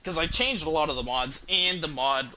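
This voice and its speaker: A man's voice: on the high side, normal speed, sharp tone, a little hiss or hum, low-quality recording. A man giving casual, sweary live commentary as he streams a video game.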